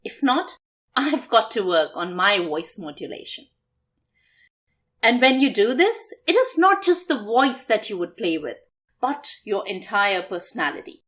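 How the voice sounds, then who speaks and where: A woman giving an instructional talk as a public speaking coach, with deliberate, expressive emphasis. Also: mid-range voice.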